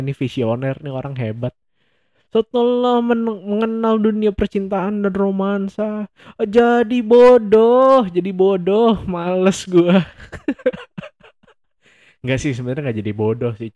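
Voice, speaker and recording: A man's voice: medium pitch, dark tone, no echo, highs cut off. A young man hosting a solo podcast, chatting casually in a rambling, self-mocking way and laughing often. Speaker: podcast host.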